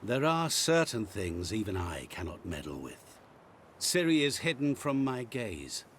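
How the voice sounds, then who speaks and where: An actor playing a sly, calm otherworldly bargainer in a video game, speaking in measured, theatrical phrases over faint rumble. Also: male voice. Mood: smooth, knowing. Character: sinister otherworldly bargain-making man, calm, sly and knowing. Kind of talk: video game dialogue acting.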